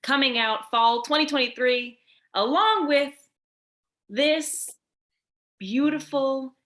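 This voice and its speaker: Woman, podcast co-host, casual chat, giggly, playful, bright tone, higher pitch. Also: slow pace. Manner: giggly, playful, trails off mid-sentence.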